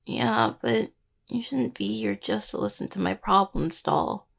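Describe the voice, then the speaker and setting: A woman playing a grieving, tender young necromancer in an intimate audio roleplay, speaking haltingly and apologetically to a partner. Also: medium pitch, very animated, gravelly, heavily acted. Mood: tearful, apologetic.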